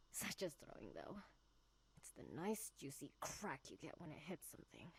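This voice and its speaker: An actress playing an angry, sardonic young woman in a visual novel, with a husky, gravelly voice. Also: low-pitched, sing-song, unhurried, slight background noise, exaggerated voice.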